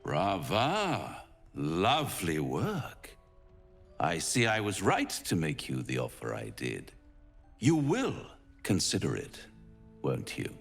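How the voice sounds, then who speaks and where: An actor playing a suave, pompous devil in a video game, teasing and menacing in a florid, silky delivery. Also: male voice, low-pitched, huge pitch swings, slow, creaky, husky, long reverb tail, faint music behind, heavily acted. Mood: amused, courtly. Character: suave, theatrical male devil, smug, menacing tempter. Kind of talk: theatrical video game acting.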